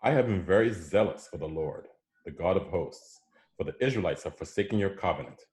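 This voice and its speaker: A man's voice: low-pitched, brisk, darkish tone, natural voice. A man reading the part of a weary, zealous, despairing prophet in a dramatized scripture reading. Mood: earnest, aggrieved.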